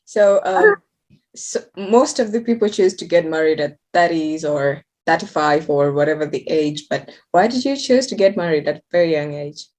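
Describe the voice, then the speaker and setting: A woman's voice: low voice, animated, normal speed, a little mellow. A woman interviewing a guest, encouraging her and asking simple questions. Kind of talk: casual interview.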